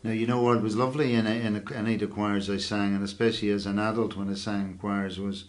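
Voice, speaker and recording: Low-voiced man chatting casually. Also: fast. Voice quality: bass voice. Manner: relaxed, rambling, thoughtful with fillers. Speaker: host of online singalong. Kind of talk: casual chat.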